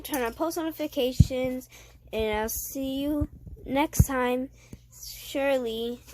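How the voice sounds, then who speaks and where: A woman hosting a casual weather update, reading forecast text aloud haltingly and sniffling with a cold. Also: high-pitched, darkish tone, a little hiss or hum, poor audio. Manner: halting reading with stumbles and self-corrections. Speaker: weather video host.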